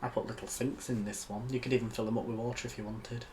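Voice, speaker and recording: A man's voice: mid-range voice, flat delivery, fast talker, darkish tone, a little hiss or hum. A man with a Yorkshire accent co-hosting a building tutorial, talking in a rambling, casual way and laughing easily. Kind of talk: casual chat.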